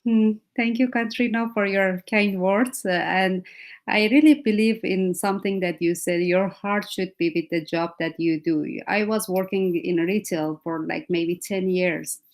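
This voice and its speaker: A woman panelist who studied in another country, reflecting on her career on a webinar call, speaking in a halting way. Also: medium pitch, fairly even intonation. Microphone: video call.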